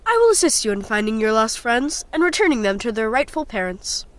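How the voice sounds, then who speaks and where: A woman's voice: fairly high pitch, very animated, fairly quick. A woman playing an earnest, wide-eyed little boy in an audio storybook drama. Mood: determined.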